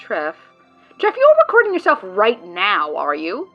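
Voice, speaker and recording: A woman's voice: medium pitch, wildly swooping pitch, a little slow, dull audio, faint music behind, full character voice. A woman voicing a sarcastic, exasperated friend in an audio drama, prone to ranting. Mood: deadpan exasperated.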